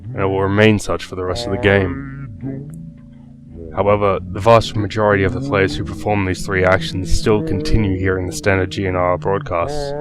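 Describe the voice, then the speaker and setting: A man reading a scripted horror story as narrator, in a measured, explanatory way. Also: sits low. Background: music, guitar.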